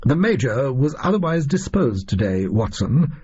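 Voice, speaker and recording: Male reader as smug gentleman detective for a storybook: formal, precise, very husky, expressive, slightly dull recording. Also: mid-range voice. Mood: apologetic, urgent. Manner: smug, formal, deliberate diction. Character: conceited, precise male Victorian detective, theatrically mysterious.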